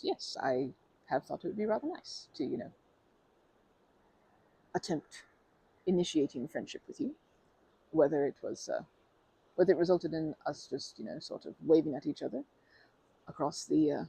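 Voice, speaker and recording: ASMR role: polite, overly formal female stranger played by a woman, rambling with fillers, gravelly low-bright tone, lively pitch. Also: heavily acted, low-quality recording. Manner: rambling, formal phrasing, many fillers and self-corrections. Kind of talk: ASMR roleplay.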